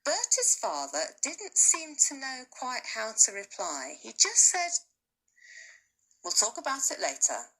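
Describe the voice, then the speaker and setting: A woman teacher reading a children's novel aloud to her class with expressive storytelling. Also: medium pitch, husky, low-quality recording.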